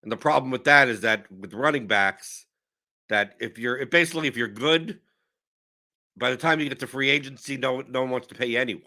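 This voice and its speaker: A young man, hoarse with a sore throat, hosting a casual fantasy sports livestream, rambling and cutting himself off. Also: medium pitch, a little fast. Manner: animated, rambling, self-interrupting. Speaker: host of a fantasy sports stream.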